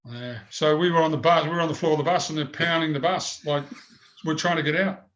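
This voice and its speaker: A man's voice: a little high, fairly even intonation, fairly quick, a bit bright. An older man with a big voice, telling rambling stories in an interview. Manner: rambling anecdotes with many restarts. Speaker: former goalkeeper, guest. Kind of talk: storytelling interview.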